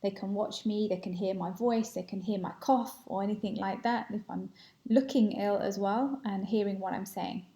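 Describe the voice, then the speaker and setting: A woman in her thirties walking through a software demo, explaining with frequent ums and uhs, recorded on a laptop webcam at home. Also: mid-range voice, fairly even intonation, moderate pace. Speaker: product presenter.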